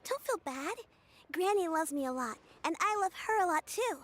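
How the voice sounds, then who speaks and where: Very high-voiced earnest young child. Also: female voice, expressive, normal speed, fairly bright tone. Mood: brave. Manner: earnest, polite. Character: kind, plucky young child caring for grandmother. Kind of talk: video game voice acting.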